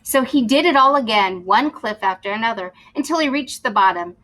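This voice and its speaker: A woman's voice: on the high side, animated, a little fast, a bit bright. A woman reading a children's story aloud expressively, as a teacher would, explaining to young listeners as she goes.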